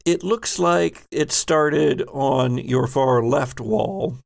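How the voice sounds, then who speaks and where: A man narrating a horror sci-fi tabletop game as game master, descriptive, with deliberate halting pauses. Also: mid-range voice, creaky.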